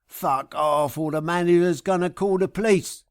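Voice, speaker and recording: A man's voice: sits high, moderate pace, pitched above the performer's own voice, lightly acted. A man voicing an angry, impatient supermarket parking attendant in a blunt, barking, aggressive way for an audiobook.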